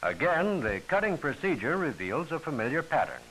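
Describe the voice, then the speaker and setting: A man's voice: a little high, big pitch swings, normal speed, fairly bright tone, a little hiss or hum, rough recording. A man giving formal, instructional scripted narration for a training film, on an old film soundtrack.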